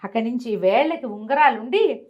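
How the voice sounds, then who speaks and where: Female storyteller with a fairly high voice and a lively, repetitive conversational delivery. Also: a little fast, lo-fi sound, crowd noise. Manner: lively, rises and drops in pitch and volume; repeats words and restarts. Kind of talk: telling a devotional story to an interviewer.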